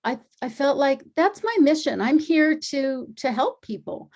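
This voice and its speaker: Animated middle-aged woman telling a story. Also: high voice, a little slow. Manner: animated storyteller acting out quoted dialogue. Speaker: energy healer and acupuncturist. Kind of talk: relaxed storytelling interview.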